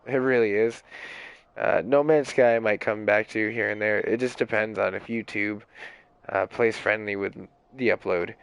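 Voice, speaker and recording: A young man streaming games while sick, with a raspy, hoarse voice, rambling in casual chat full of fillers and swearing. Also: fairly low pitch, fairly even intonation, normal speed, fairly bright tone. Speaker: game streamer.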